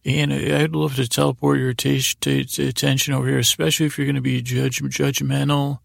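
A man with a heavily creaky, gravelly voice, recorded close and dry. He hosts a podcast as a rambling bedtime monologue. His delivery meanders and halts, often circling back to repeat words. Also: mid-range voice, flat delivery, no echo. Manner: meandering, halting, repeats himself. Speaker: podcast host.

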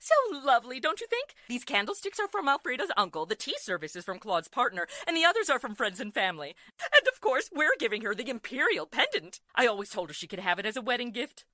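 A woman's voice: on the high side, big pitch swings, fast, pushed and bright, dry recording, studio quality. A woman playing a gushing, flighty middle-aged society matron in an old-time radio drama, with an effusive, theatrical manner. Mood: fussy, chatty. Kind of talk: 1940s-style radio drama acting.

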